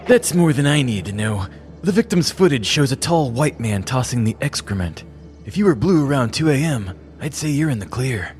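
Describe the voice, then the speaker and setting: Man playing a deadpan noir detective. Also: mid-range voice, moderate pace, faint music behind, close to an everyday voice. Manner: dry noir voiceover, deadpan. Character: deadpan middle-aged male detective.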